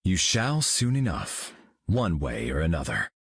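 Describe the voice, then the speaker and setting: A solemn golden cosmic hero for a video game, his speech calm and grave. The voice sits very low with a husky grain and a lively, rolling pitch. It is recorded close and dry. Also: a little slow, no room sound, exaggerated voice. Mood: serene.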